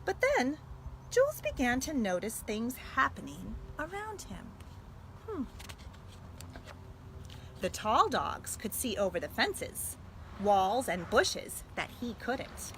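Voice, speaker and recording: An American woman, a school librarian, reading a picture book aloud to young children in an expressive way. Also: a little high, slow pace, pauses often. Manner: animated, expressive delivery aimed at young children. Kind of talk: read-aloud storytelling for children. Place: at home. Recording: noisy home video with background sounds.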